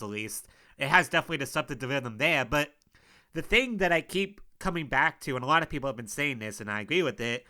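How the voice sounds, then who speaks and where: A man's voice: mid-range voice, sharp tone. A young man with a cold, sounding sniffly, talking casually and frustratedly about his struggling football team in a rambling solo monologue. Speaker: sports podcaster.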